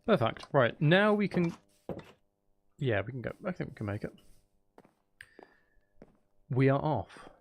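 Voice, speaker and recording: A man's voice: medium pitch, sing-song, a little slow, pauses often. A man hosting a casual gaming livestream, chatting in a playful, teasing, rambling way and sounding a little tired. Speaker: livestream host.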